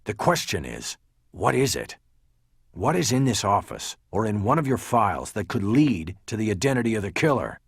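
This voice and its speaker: A man voicing a gruff, angry, confrontational police detective in an audiobook crime novel. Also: on the low side, sing-song, moderate pace, no echo, studio quality, heavily acted. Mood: tense, insistent. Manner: barking, confrontational, insistent.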